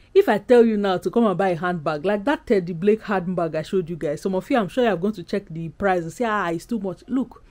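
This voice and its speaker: A woman's voice: mid-range voice, dark tone. A woman in her thirties from Nigeria with a Nigerian accent, talking casually to camera as a vlogger in a candid, emphatic, conversational way. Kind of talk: casual chatty vlog to camera. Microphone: camera at arm's length.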